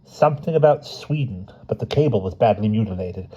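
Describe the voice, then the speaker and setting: A man's voice: fairly low pitch, animated, a little fast, dark tone, slightly rough, muffled recording, voice pushed up. A man voicing a fussy, eager young male official who gushes apologetically, partly in a put-on Austrian accent. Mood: apologetic. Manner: apologetic and gushing.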